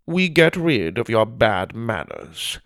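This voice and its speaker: Man putting on a haughty, slow-drawling headmaster. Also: mid-range voice, very animated, a bit bright, no room sound, studio quality, heavily acted. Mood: haughty, formal. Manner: haughty, drawn-out, precise delivery. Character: snobbish, fancy male headmaster of a manners school.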